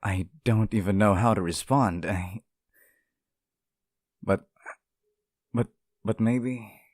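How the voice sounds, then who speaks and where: Man voicing a deep, doting possessive male demon. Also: slow, a little mellow, no room sound, studio quality. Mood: tender, breathless. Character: devoted, possessive male demon sealed in a cage, sweet but menacing. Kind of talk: ASMR roleplay acting.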